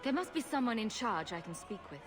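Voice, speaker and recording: A woman's voice: a little high, sing-song, fairly quick, over music. An actress playing a determined, questioning refugee woman of good family in a video game, assertive and composed. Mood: insistent.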